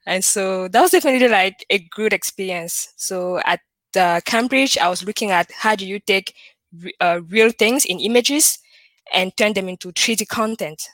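Cameroonian woman, 30s, French-accented English, lively guest, dry recording. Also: mid-range voice, normal speed, dry recording. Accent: French-speaking Cameroonian. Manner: animated, laughs often, frequent fillers. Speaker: guest, computer vision researcher. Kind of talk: casual interview chat.

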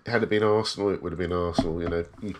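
A man's voice: low-pitched, fairly even intonation, racing, background noise. A man talking reflectively on a casual podcast, often leaning on "you know.".

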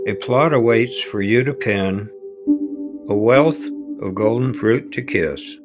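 A gentle older grandfather recites a riddling letter in verse. His voice is low and unhurried, with music underneath. It is measured audio drama delivery.

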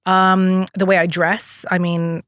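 A woman with a lowish voice, recorded close and dry, who thinks aloud as she speaks. She is a young adult from the Emirates and often pauses, restarts and fills gaps with "um" while building her points. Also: little pitch movement, a bit bright, no echo. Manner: thoughtful, hesitant, many fillers and restarts. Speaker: guest. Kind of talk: reflective interview conversation.

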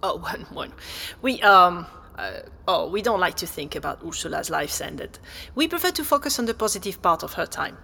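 An actress playing a helpful, slightly flustered exhibition guide, speaking politely and hesitantly in naturalistic audio drama dialogue. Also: female voice, fairly low pitch, lively intonation, moderate pace, creaky, sharp tone, breathy edge, heavily acted. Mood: uneasy, hesitant. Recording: long hall-like reverb.

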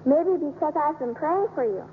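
Little orphan girl, about eight: very high, eager, innocent; muffled, hissy vintage radio. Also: animated, moderate pace. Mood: earnest, polite. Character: earnest eight-year-old orphan girl who sees angels. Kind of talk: 1950s radio drama acting. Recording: muffled, noisy old radio broadcast.

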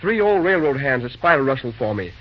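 A man playing a brisk, busy railroad dispatcher in an old-time radio drama, talking in clipped, folksy railroad slang. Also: mid-range voice, sing-song, lightly acted. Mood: friendly. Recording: muffled, noisy old radio recording.

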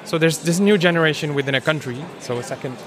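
A man moderating an informal panel discussion, searching for his words with frequent pauses. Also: a little high, a crowd in the background. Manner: hesitant, searching, pausing often.

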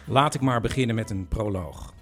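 A man's voice: on the low side, normal speed. A man narrating a radio play in the first person as its presenter. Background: music.

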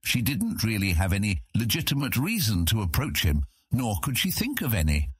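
A man's voice: fairly low pitch, wildly swooping pitch, normal speed, slightly rough, dry recording. A man narrating a scripted fantasy audiobook as its storyteller.

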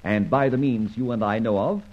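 A man acting a suave, self-assured gentleman adventurer in an old-time radio drama, breezy and urbane in his old-fashioned phrasing. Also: lowish voice, moderate pace, lightly acted. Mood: brisk, determined. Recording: dull, lo-fi old radio recording.

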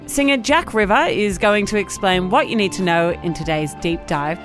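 A woman's voice: medium pitch, normal speed, soft background music. An Australian woman presenting and interviewing as the host of a news podcast.

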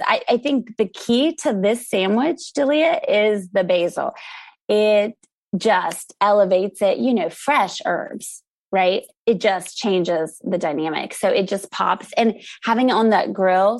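A woman's voice: medium pitch, darkish tone, no room sound. An American woman talking casually as an interview guest, enthusiastic and chatty. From United States, grew up in the country. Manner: enthusiastic, chatty, quick to laugh. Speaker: interview guest, food truck operator.

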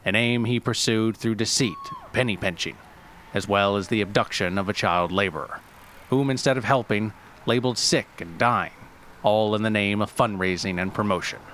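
A man reading scripted documentary-style narration in a formal, deadpan manner. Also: lowish voice, normal speed, slight background noise. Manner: deadpan, formal delivery of absurd history. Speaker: narrator and writer of a story podcast.

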